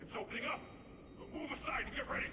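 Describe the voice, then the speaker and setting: Urgent, high-pitched city guard. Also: male voice, fast talker, reverberant, noisy background. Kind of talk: video game voice acting. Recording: loud game music over voice.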